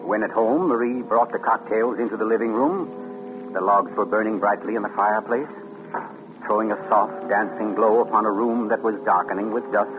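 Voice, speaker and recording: A man narrating a 1940s radio drama in a measured, suspenseful way. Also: fairly low pitch, fairly even intonation, music in the background. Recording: muffled noisy 1940s radio broadcast.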